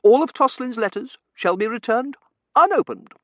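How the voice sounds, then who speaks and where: A man doing a shrill, wailing Victorian matron. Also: lively intonation, moderate pace, dry recording. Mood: imperious. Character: imperious, melodramatic older Victorian matron, woman.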